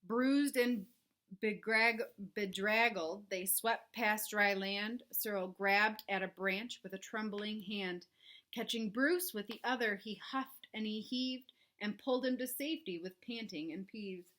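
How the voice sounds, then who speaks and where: A woman reading a picture book aloud for young children, expressive and dramatic, with chatty asides to her listeners. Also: medium pitch, a little slow. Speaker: children's read-aloud presenter. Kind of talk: animated storybook read-aloud for young children.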